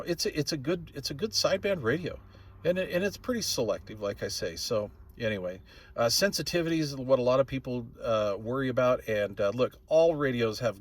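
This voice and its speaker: Casual middle-aged man reviewing gear. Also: mid-range voice. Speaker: radio hobbyist channel host reviewing gear. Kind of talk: casual product review talk.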